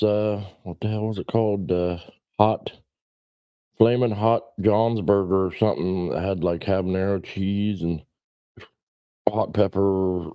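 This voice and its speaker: A man's voice: low voice, dry recording, highs cut off. A man in his forties chatting casually on a livestream, rambling in a blunt, self-deprecating, salty way. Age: 40s. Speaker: livestream host.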